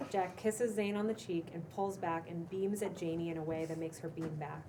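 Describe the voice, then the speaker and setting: A woman's voice: lowish voice, fairly even intonation, moderate pace. A woman narrating the stage directions of a script at a cold table read. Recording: distant mic, clearly noisy room.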